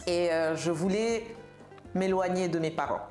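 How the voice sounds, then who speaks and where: Woman telling her story on a podcast, lowish voice, repeats stuff for emphasis, roomy echo. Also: unhurried, darkish tone, faint music behind. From Senegal. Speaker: podcast guest, tech professional. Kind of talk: conversational personal storytelling. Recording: roomy, reverberant room sound.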